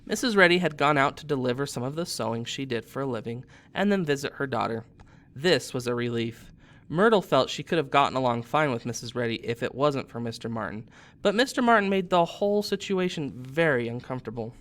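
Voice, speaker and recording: Guy in his 30s reading a kids novel out loud like a teacher, expressive, normal pitch. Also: brisk. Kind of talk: expressive storybook narration.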